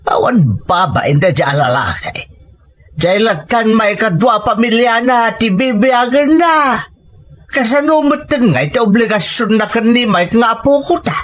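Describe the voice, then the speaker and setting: A woman's voice: fairly high pitch, lively intonation, unhurried. A woman voicing a stern, resentful elderly grandfather who scolds indignantly in a dramatic family story. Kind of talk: dramatic Ilocano radio-style storytelling.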